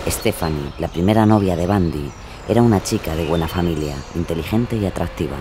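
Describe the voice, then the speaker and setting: A man's voice: deep voice, fairly quick, background noise. A man reading scripted true-crime narration.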